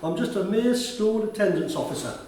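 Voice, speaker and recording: A man acts out a courteous, chatty male school attendance officer in a radio play. His voice sits fairly high for a man and carries a kindly concern. It is captured at a distance in a very echoey room. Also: expressive, moderate pace, mellow, slight background noise. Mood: wry complaint. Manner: courteous, formal address, talkative.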